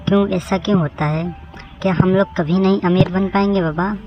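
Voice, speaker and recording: A man's voice: sits high, fairly even intonation, normal speed, music in the background. A man voicing a farmer's earnest, plaintive young son in a cartoon story, on a lo-fi recording. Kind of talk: Hindi moral story cartoon voice acting. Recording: dull lo-fi cartoon audio.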